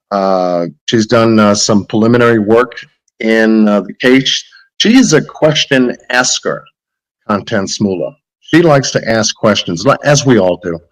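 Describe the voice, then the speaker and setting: Hoarse man with a cold, halting. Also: fairly low pitch, poor audio. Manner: halting, with many "uh" fillers. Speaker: content creator giving an update. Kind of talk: casual spoken update.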